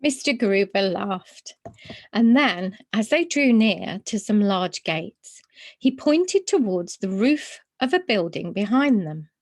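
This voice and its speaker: A woman's voice: mid-range voice, big pitch swings, slow. A woman narrating a children's storybook as a story reader.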